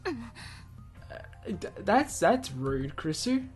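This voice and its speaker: A man's voice: high voice, big pitch swings, slow, faint music behind. A man hosting a let's play, reading a visual novel aloud theatrically and adding casual, offhand asides. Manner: theatrical read-aloud mixed with offhand commentary. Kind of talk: game narration with casual asides.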